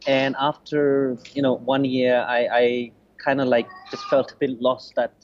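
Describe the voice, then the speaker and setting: A man in his forties from Bangladesh, a podcast guest telling his story in a relaxed, hesitant way. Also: medium pitch, unhurried, no echo. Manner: hesitant, restarts, many "you know"s. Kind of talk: casual interview answers. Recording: lo-fi muffled sound.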